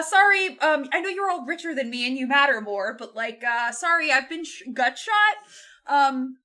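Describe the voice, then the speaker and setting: A young woman with a fairly high, bright voice talks as a podcast co-host. She is informal and animated, swearing freely and slipping into quoted lines as she retells a story. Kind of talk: casual book discussion, retelling a plot.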